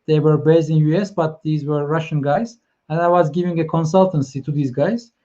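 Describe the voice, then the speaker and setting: A man's voice: fairly high pitch, steady tone, brisk, mellow, highs cut off. A man from Turkey with a Turkish accent, hosting a live stream talk in English, hesitant with many fillers and restarts as he encourages his viewers. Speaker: host.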